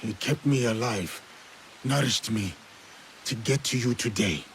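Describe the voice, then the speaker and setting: Casting: resolute game samurai out for vengeance, gravelly, solemn, defiant, slight hiss on the track. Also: male voice, mid-range voice, measured pace, a bit bright, low-quality recording. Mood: solemn resolve. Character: vengeful, resolute male samurai, a former slave. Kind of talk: dramatic video game acting.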